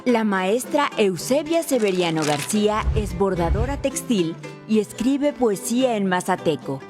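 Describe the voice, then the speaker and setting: Woman doing documentary voice-over, measured, music behind. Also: mid-range voice, lively intonation. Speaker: documentary narrator. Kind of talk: scripted voice-over narration.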